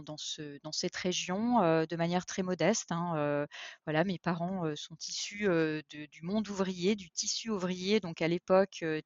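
A woman's voice: fairly low pitch, no echo, lo-fi sound. Hesitant woman in her forties. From eastern France. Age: 40s. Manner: hesitant, many fillers and restarts. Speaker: podcast guest. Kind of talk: interview conversation.

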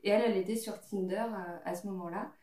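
A woman's voice: mid-range voice, steady tone, fast, mellow. Chatty woman in her twenties, distant mic. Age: 20s. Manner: chatty storyteller, laughs often, many fillers. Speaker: podcast guest. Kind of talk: casual chat among friends. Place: living room, around a coffee table. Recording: roomy sound from a distant mic.

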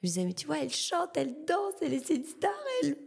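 A young woman from Martinique with a Martinican French accent, talking casually as a guest artist, halting with many fillers and repeated words. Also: high voice, sing-song, gravelly, a little mellow. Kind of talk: casual interview chat.

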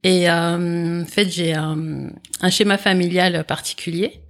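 A woman in her forties from the Comoros, a company founder being interviewed, answering in reflective, halting phrases with frequent pauses. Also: on the low side, little pitch movement. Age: 40s. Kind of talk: conversational interview.

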